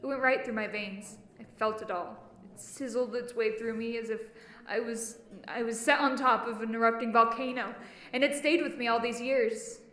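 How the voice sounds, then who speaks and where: A woman's voice: on the high side, steady tone, moderate pace, a bit bright, roomy sound, natural voice. A woman playing a wounded, defiant character in an intense dramatic monologue, brooding and emphatic. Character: wounded, defiant woman nursing an old insult. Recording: music bed behind voice.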